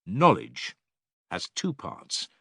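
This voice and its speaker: An actor playing an older philosopher in an audiobook dialogue, a patient, probing teacher with measured, rhetorical and emphatic delivery. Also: male voice, fairly low pitch, wildly swooping pitch, creaky, fairly bright tone, husky, no room sound, clean studio sound, full character voice. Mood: explanatory.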